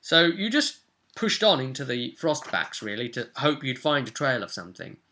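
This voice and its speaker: Game master, young man, British, bright voice, casual tabletop session recap. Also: medium pitch, big pitch swings, moderate pace. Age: young adult. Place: small cluttered room at home.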